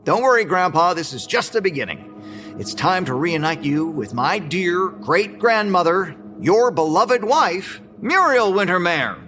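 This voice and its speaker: Slick male showman, high voice, sweeping intonation, theatrical pauses, keynote host in a radio drama. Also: sing-song, sharp tone, faint music behind, full character voice. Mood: smooth, placating. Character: showy, slick male presenter, inventor's grandson. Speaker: event presenter.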